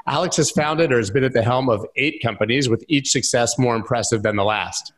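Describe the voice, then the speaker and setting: A man's voice: mid-range voice, brisk. A man in his thirties hosting a podcast over a video call, reading an upbeat intro and asking questions. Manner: upbeat, reads plugs smoothly. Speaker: podcast host. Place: bright room at home.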